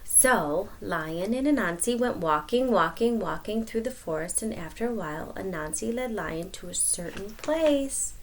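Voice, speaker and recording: A woman's voice: mid-range voice, lively intonation, moderate pace, hardly any pauses, a little hiss or hum. A woman reading a storybook aloud to children, explaining as she goes and repeating words for emphasis. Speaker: storybook reader. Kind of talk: expressive read-aloud to children.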